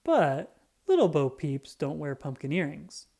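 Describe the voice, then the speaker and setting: A woman's voice: sits low, very animated, measured pace, mellow, breathy edge, exaggerated voice. A woman voicing an eager, bossy but caring young girl in a storybook, coaxing and exclaiming. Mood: delighted. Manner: coaxing, exclaiming, sometimes whispering.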